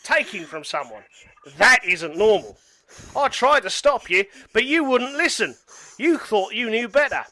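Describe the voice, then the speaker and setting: A man's voice: high voice, sing-song, a little slow, sharp tone, full character voice. A man playing a paranoid, stubborn survivalist train passenger in an amateur radio play, protesting defensively. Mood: self-justifying.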